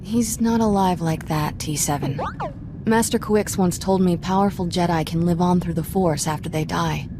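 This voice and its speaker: An actress playing a witty, flirtatious starship companion with a wry manner. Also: female voice, fairly low pitch, normal speed, noisy background, natural voice.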